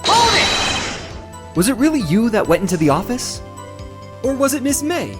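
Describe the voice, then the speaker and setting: A man's voice: extremely high pitch, big pitch swings, a little slow, music in the background, full character voice. A man playing an anxious, easily flustered young defense attorney in a video game drama, stammering and exclaiming. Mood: urgent.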